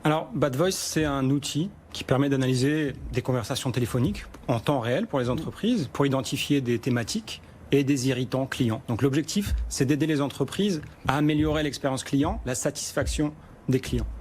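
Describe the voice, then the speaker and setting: A man's voice: mid-range voice, brisk, a little mellow, slight background noise. A man, a startup executive, explaining his company's tool in an interview, with frequent restarts. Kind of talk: business interview answers.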